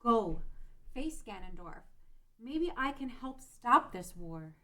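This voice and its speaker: A woman's voice: on the high side, big pitch swings, slow pace, pushed and bright, full character voice. A woman playing a brave, earnest young peasant girl pleading for peace in an amateur fantasy table read. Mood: determined.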